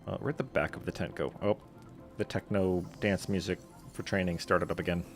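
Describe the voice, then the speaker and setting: A man's voice: low-pitched, steady tone, normal speed. A man live-streaming a game with casual commentary and joking, flippant asides, over the game's music.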